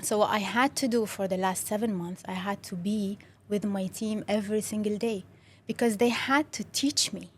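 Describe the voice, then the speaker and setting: A woman's voice: mid-range voice, moderate pace, slightly rough. A young woman from Syria with a Syrian Arabic accent, a native Arabic speaker, talking as an interview guest in a friendly way with many fillers and restarts. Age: young adult. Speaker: interview guest, creative director. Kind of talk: casual interview talk.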